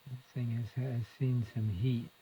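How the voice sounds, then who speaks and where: A man with a gravelly, fry-laden voice talks through a hands-on repair. He takes his time, muttering his thoughts in short fragments as they come. A little background room noise sits behind his mid-pitched voice. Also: level tone, unhurried, lo-fi sound. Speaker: electronics repair hobbyist, presenter. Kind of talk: unscripted hands-on repair commentary.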